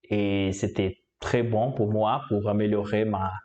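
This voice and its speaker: A man from Brazil talks through how he learned French, his Brazilian Portuguese accent plain in every word. He speaks slowly, stopping often on fillers and repeating words as he searches for them. His voice is dark and mellow. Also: lowish voice, fairly even intonation, dull audio. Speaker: language learner. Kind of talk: casual explanatory talk.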